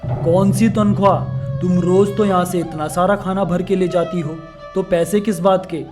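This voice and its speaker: A woman playing an arrogant, demanding young rich man in an animated moral story. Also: on the low side, normal speed, over music, natural voice. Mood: angry, haughty. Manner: haughty, demanding.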